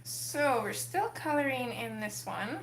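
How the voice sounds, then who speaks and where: Woman, livestream host, casual chat, a bit gravelly, faint background noise. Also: fairly high pitch, animated, a little slow, roomy sound. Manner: chatty, reads and answers chat comments. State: slightly gravelly morning voice.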